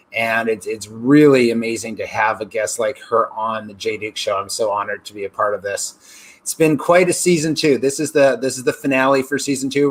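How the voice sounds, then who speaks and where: A man presents a show with a medium-pitched voice. He talks effusively as a host, leaning on superlatives as he introduces and questions. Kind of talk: hosting an online interview show.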